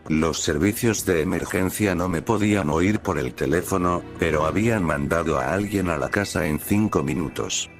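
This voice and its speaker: A man with a deep, low voice narrates a scripted horror story in the first person. Music plays clearly behind his narration. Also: normal speed, hardly any pauses. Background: prominent music bed.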